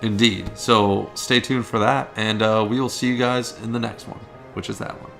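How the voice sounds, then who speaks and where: Gravelly man bantering over loud game music. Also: lowish voice, steady tone, moderate pace. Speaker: gaming video co-host. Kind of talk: casual gameplay commentary banter. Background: video game music.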